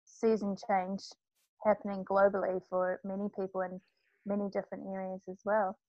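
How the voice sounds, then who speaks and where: A woman, a guest on a podcast, speaking in a thoughtful, measured way with some fillers. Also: medium pitch, level tone, dry recording. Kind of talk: casual conversation. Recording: dull, muffled lo-fi audio.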